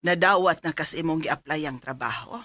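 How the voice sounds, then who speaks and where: A woman's voice: low voice, moderate pace, a bit bright, soft background music. An actress playing a middle-aged, fussy but caring mother in a Filipino radio drama, nagging expressively with a Cebuano accent. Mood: motherly. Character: fussy, caring, scolding mother of grown son.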